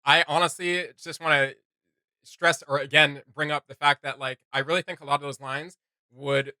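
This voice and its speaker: A man co-hosting a reaction video, talking casually and excitedly in an emphatic, slangy way. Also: fairly high pitch, normal speed, no echo.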